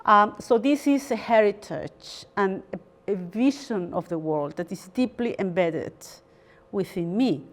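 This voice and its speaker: A woman from Peru, a barrister giving a formal university lecture in a measured, thoughtful way with frequent pauses. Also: mid-range voice, expressive. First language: Spanish.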